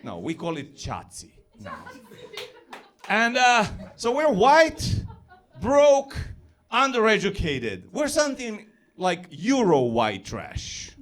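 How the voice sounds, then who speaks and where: A man with a Serbo-Croatian accent doing a self-deprecating stand-up set on stage, building to yells. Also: high-pitched, wildly swooping pitch, slow pace. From former Yugoslavia. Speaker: stand-up comedian.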